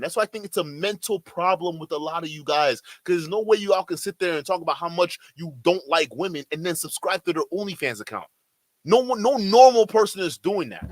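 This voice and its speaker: A man's voice: high voice, a bit bright, no room sound. A man reacting live to a stream, exasperated and incredulous, talking straight to his chat. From Nigeria. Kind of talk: livestream reaction commentary.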